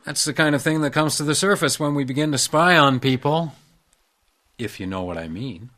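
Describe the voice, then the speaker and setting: An actor in a radio drama playing a shrewd, composed hotel house detective, speaking and narrating in a measured, wry way. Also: male voice, fairly high pitch, lively intonation, a bit bright.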